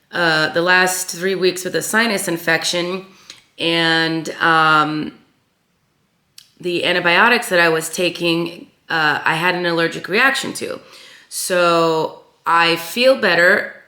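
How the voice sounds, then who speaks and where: Hoarse raspy woman rambling to her viewers, bright tone, echoey room. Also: lowish voice, fairly even intonation, takes it slowly. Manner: rambling, many ums and uhs, repeats herself. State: raspy voice after sinus infection. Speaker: craft channel host. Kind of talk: casual chat to camera.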